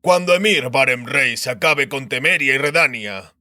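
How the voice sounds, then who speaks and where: A blunt spymaster addressing royalty with stiff courtesy. The voice is forceful and sharp at a middle pitch, pointed, for an audiobook drama. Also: male voice, moderate pace, no room sound, studio quality. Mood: warning.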